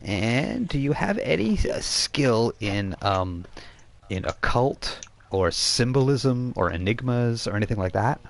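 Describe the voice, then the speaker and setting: A man narrating a tabletop role-playing game as game master, conversational and halting, often repeating phrases as he improvises. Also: medium pitch, big pitch swings, creaky. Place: live online game stream.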